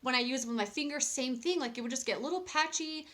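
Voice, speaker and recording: A fairly high-voiced woman in her thirties talks casually to a close camera in a small cluttered room at home. Her review is chatty and candid, full of fillers and restarts, and she rambles along as she speaks. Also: fast, a bit bright. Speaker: beauty vlogger.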